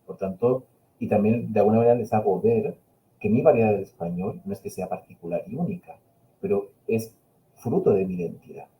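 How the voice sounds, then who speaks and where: Dark-voiced Spaniard on a video call. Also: male voice, on the low side, brisk, low-quality recording. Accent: Peninsular Spanish mixed with Chilean Spanish. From Spain. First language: Catalan. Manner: reflective, hesitant, with many fillers and restarts. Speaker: invited speaker, university linguistics professor. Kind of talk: webinar talk answering a question.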